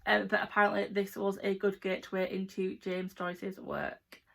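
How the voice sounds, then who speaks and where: A woman's voice: mid-range voice, level tone, moderate pace, creaky, a bit bright. A young adult woman with an English accent, chatting casually and informally to viewers as a book vlogger, rambling a little. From England. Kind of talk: casual chat to camera.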